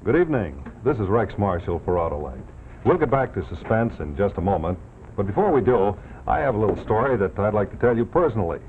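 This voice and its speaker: An American man in his thirties giving a folksy, emphatic 1950s television sponsor pitch as an announcer. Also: very animated, quick pace. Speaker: commercial announcer. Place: television studio set. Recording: tinny 1950s TV soundtrack, faint noise.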